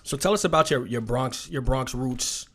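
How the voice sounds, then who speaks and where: A man co-hosting a podcast who speaks in a measured, encouraging way as he introduces the guest. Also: mid-range voice, a bit bright. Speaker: podcast co-host. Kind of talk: casual podcast interview.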